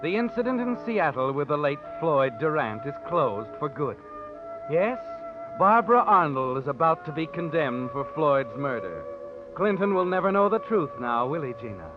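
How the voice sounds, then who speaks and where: Sinister, taunting mystery narrator. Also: male voice, a little high, very animated, moderate pace. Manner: insinuating, taunting, dramatic. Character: sinister, knowing male narrator taunting the guilty. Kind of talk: 1940s radio suspense narration, second person. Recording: muffled old radio broadcast with music.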